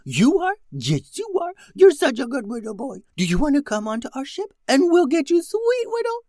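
A man voicing Ted, a fussy, overly polite crewmate who stammers and coos softly at puppies, in a storybook reading. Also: extremely high pitch, huge pitch swings, normal speed, no room sound, clean studio sound, pitched above the performer's own voice, heavily acted. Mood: cooing baby talk.

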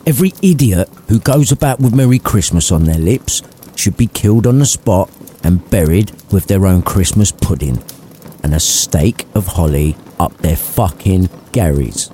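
A man's voice: sits low, animated, normal speed, mellow, slight background noise. A man voicing a foul-mouthed, grumpy old male miser who swears and snaps dismissively. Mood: snarling.

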